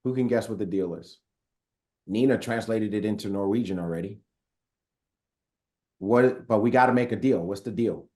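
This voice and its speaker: A man's voice: fairly low pitch, moderate pace, long pauses, dark tone. A man hosting a live online training call, upbeat and chatty, reading viewer comments aloud with frequent fillers. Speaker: host of a company training call. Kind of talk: casual live webinar hosting.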